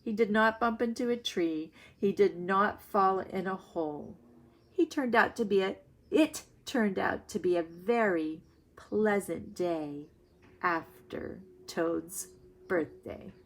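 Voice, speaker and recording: A woman teacher reading aloud to young children and explaining her thinking in a deliberate way. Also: mid-range voice. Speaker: primary school reading teacher.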